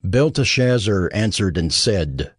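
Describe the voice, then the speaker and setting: A man's voice: on the low side, animated, unhurried, no room sound, clean studio sound. A man narrating scripture in a scripted, dramatized production.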